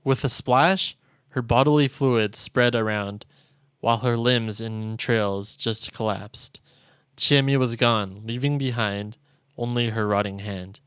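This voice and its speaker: A man's voice: medium pitch, a little slow, fairly bright tone, smooth, no echo, studio quality. Solemn man reading out dramatic game narration. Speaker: streamer. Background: game music.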